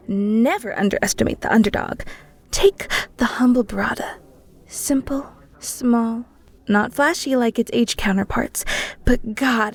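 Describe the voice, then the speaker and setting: A woman's voice: fairly high pitch, vocal fry. A woman playing an enthusiastic, flirty cheesemonger in a roleplay audio, chatty and theatrical, talking straight to the listener. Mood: playful, boastful. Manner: playful, theatrical, chatty, with flustered stammers. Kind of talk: roleplay audio drama, one-sided conversation with the listener.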